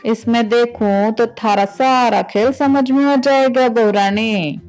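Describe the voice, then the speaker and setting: Lady doing a bossy cartoon mother-in-law, high voice, slow and scolding, suspicious of everyone. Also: fairly even intonation, a little mellow, faint music behind. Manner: scolding, drawn-out. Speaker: voice actor in animated story.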